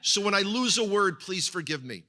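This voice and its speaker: Man, Israeli accent, very bright voice, slightly high pitch, conversational sermon. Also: lively intonation, moderate pace. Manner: conversational, repeats words when searching for them. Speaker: guest speaker at a congregation. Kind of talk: sermon-style talk.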